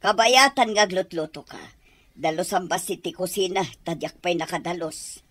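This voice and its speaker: A woman's voice: on the low side, moderate pace, a bit bright. A woman playing a bossy, scolding middle-aged mother-in-law in an Ilocano radio drama, with an Ilocano accent. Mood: demanding, dismissive. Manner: demanding, scolding.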